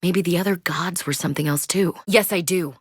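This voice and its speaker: A woman's voice: on the low side, brisk, husky, no room sound, studio quality. A woman playing a tough, candid young space marine with an American accent in a video game, speaking in a blunt, plain-spoken military way. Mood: candid, conversational. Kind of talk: video game character dialogue.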